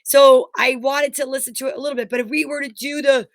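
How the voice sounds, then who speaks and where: A woman with a high voice chats informally as a co-host, close and dry in sound. Her delivery is conversational and agreeable. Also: flat delivery, fast talker, no room sound. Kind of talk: casual chat, reading out viewer comments.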